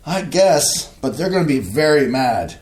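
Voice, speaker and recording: An actor doing a grumpy middle-aged husband who complains with folksy oaths. His pitch jumps up and down a lot as he grouses. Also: very animated, normal speed, a little mellow, echoey room. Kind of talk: staged play reading.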